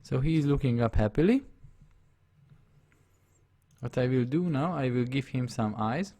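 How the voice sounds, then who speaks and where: Male tutor with a Hungarian accent, gravelly, middle pitch; patient step-by-step narration at a desktop mic. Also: animated, a little slow, mellow. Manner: narrates his on-screen steps, often checks the viewer follows. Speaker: drawing tutor. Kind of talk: live software drawing tutorial.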